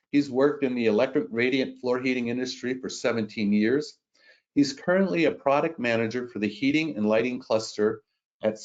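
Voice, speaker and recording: A man with a head cold hosting an online webinar, stuffy and halting, with many fillers. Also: mid-range voice, darkish tone, highs cut off. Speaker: webinar host.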